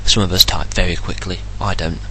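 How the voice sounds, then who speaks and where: A man's voice: sits low, fairly even intonation, a little fast, creaky, pushed and bright, a little hiss or hum. An English man ranting indignantly and bluntly in a solo vlog, swearing freely. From England. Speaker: vlogger.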